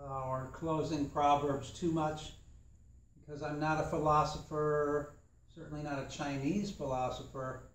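A young man teaching a tai chi class in a dojo while he demonstrates, talking in a relaxed, thoughtful way. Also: a little high, a little hiss or hum. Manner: relaxed, thoughtful, chatty with fillers. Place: large empty dojo with mat floor. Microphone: far camera. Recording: echoey room from a distance.

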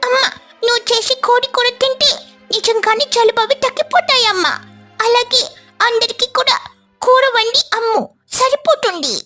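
Eager little child sparrow, very high. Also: female voice, normal speed, pushed and bright, soft background music. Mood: eager, persuading.

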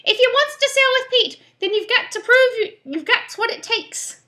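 A woman's voice: extremely high pitch, animated, moderate pace, bright, forceful tone, voice pushed up, full character voice. A woman voicing a bossy ship's parrot with a squawking voice and pirate slang, for a storybook. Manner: pirate slang, curt commands. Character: loud, bossy ship's parrot barking orders.

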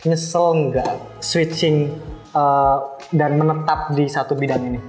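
A man hosts an informal chat in a fairly high voice, echoing and rephrasing what he hears. The sound is distinctly roomy, and music plays underneath. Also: fairly even intonation, unhurried. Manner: informal, echoes and rephrases the guest. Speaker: podcast host, interviewer. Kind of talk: casual interview chat. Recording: roomy echo, faint background music.

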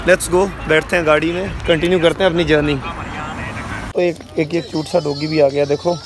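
A man's voice: on the high side, steady tone, moderate pace, background noise. A young man talking to viewers in a chatty, upbeat travel-vlog style while holding his phone at arm's length outdoors. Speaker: vlogger. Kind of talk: casual travel vlog narration. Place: outdoors by a roadside, cold evening. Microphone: phone held at arm's length.

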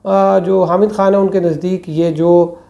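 A man, giving political commentary to camera in a small room on a lapel mic, measured and full of hesitations. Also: high-pitched, flat delivery, vocal fry, dark tone, highs cut off. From Pakistan. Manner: measured, many hesitations and fillers. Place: small indoor room with plain walls.

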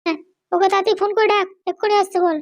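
A woman voicing a bossy, hot-tempered mother in a comic cartoon, with sharp scolding talk. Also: very high voice, steady tone, racing. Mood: urgent.

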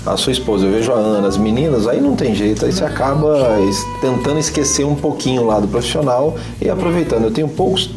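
A man's voice: medium pitch, expressive, music in the background. Joking businessman guest on a TV chat. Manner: joking, talkative, halting restarts. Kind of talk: casual TV interview. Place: small TV studio set with a tea table.

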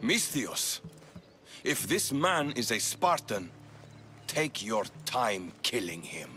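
An actor playing a stern, suspicious Spartan army officer in a video game cutscene, with a clipped, commanding manner. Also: male voice, medium pitch, very animated, takes it slowly, sharp tone, exaggerated voice. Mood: stern, menacing. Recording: game dialogue over background music.

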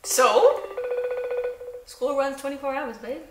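Playful high-voiced young woman, echoey room. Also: takes it slowly, far from the mic, very noisy. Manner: playful and teasing. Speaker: vlog co-host. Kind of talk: casual chat on video calls. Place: bright living room at home.

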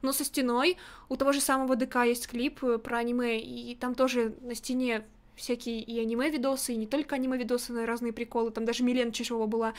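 Young female streamer, high voice, rapid-fire blunt opinions, close studio mic at home. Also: level tone. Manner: blunt, opinionated, quick to nitpick. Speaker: streamer reacting to a music video. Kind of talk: casual critical commentary. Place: home streaming room, indoors. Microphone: large studio mic in front, headset on.

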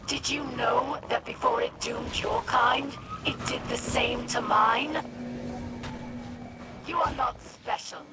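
Game villain: harsh hissing alien warlord, slow menacing speeches over radio, buried in noise. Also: female voice, fairly high pitch. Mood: menacing, scornful. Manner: menacing, declamatory. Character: fierce, contemptuous female alien warlord. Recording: very noisy transmission over game sounds.

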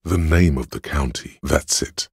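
An extremely deep, husky voice of cold reason inside a detective's head, from a video game. He narrates in a measured, deliberate, detached manner, with heavy gravel and a very rough rasp, recorded dry and close. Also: dry recording, exaggerated voice. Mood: analytical, detached.

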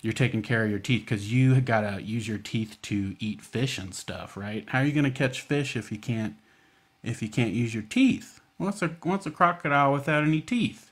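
A man's voice: mid-range voice, animated, normal speed. An American man hosting a children's storytime, speaking in a folksy, informal, coaxing way with frequent check-in questions. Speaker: library storytime host.

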